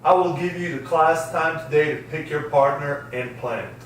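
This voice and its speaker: A man's voice: on the high side, moderate pace, slight background noise, lightly acted. A man reading the part of a strict science teacher at a script table read, in an echoey room with a distant mic. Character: strict male science teacher addressing his class.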